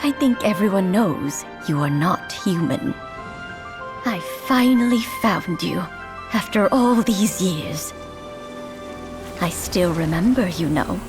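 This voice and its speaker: A woman voicing a wise, kindly old woman in a video game, speaking in a warm, measured way. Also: on the low side, expressive. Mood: tender. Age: older. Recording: game soundtrack music behind.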